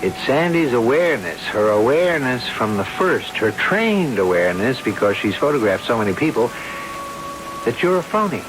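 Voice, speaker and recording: An actor as a protective male lawyer with a mid-pitched voice. He is steady, earnest and blunt in old radio drama style. Clear hiss sits over the old broadcast. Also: normal speed, lightly acted. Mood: blunt accusation. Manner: earnest, firm.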